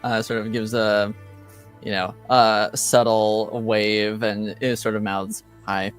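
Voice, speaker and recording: A man narrating an improvised tabletop game as game master, halting and hesitant. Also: lowish voice, flat delivery, clear, resonant tone, soft background music. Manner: halting, hesitant, with long gaps.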